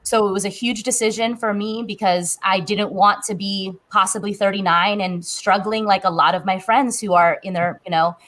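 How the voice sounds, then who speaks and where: A woman in her thirties is talking as a guest on a panel. She tells her own story candidly, in an everyday conversational way, with a medium-pitched voice. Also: steady tone, normal speed, hardly any pauses, fairly bright tone. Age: 30s.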